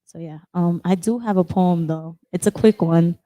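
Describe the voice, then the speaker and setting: A woman with a high, girlish voice, speaking softly and emotionally as she shares a personal story and reads a poem. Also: moderate pace, no echo, poor audio. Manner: soft-spoken, emotional, apologetic. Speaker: poet sharing at an open discussion. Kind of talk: personal sharing and poetry reading.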